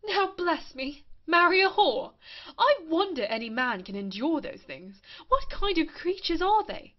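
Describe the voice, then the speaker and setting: A woman playing a scandalised, scornful gentlewoman in a period stage comedy, exclaiming with indignation. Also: extremely high pitch, very animated, a little slow, muffled recording, exaggerated voice.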